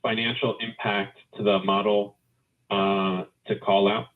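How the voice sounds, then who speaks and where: A man's voice: on the low side, little pitch movement, a little slow. A male financial analyst phoning in to ask questions on an earnings call. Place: phone call into conference. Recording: narrow tinny phone line.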